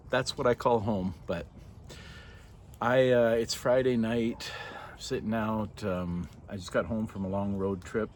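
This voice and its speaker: Older man, slightly low voice, casual vlog monologue, hesitant, sincere, reflective, outdoors, faint background noise. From born in Norway, moved to Canada as a child. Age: 50s to 60s. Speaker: vlogger. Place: outdoors by a fire in a yard at night. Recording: noisy outdoor ambience.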